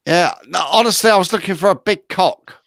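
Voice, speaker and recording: A man's voice: high-pitched, fairly quick, vocal fry, fairly bright tone, no echo, clean studio sound. A man co-hosting a comedy talk show in casual, crude banter, often repeating himself.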